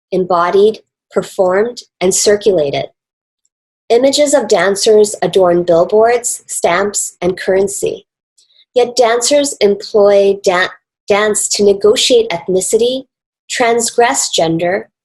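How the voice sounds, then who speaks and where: A woman with a North American accent reads a scripted academic talk in a formal, measured and deliberate way. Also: mid-range voice, a little mellow, low-quality recording. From Canada and the United States. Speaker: academic speaker, author and dance researcher.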